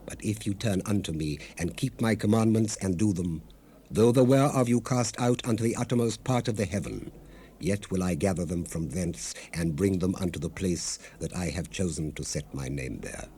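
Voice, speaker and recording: A deep-voiced, devout servant of an ancient king, speaks in solemn, archaic scripture. His dark, mellow tone carries earnest, measured humility, as in a 1970s Bible audio drama. The cassette transfer adds light hiss. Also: expressive. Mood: fervent pleading. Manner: solemn, fervent, measured, formal archaic delivery. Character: devout, grieving Old Testament royal cupbearer, earnest and humble. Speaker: actor in a dramatized Bible recording. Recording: noisy unprocessed cassette rip.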